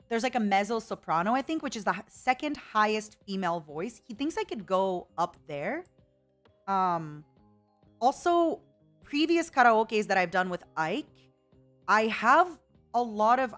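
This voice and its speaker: A woman's voice: mid-range voice, fairly bright tone. A young woman streaming a casual chat with viewers, rambling and reading out chat messages. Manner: chatty, rambling, reads chat aloud.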